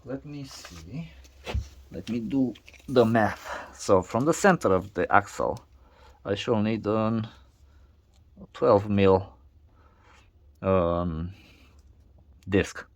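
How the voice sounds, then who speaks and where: A man whose first language is not English, narrating a casual workshop vlog in a halting way with many restarts and fillers. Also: on the low side. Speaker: DIY video maker.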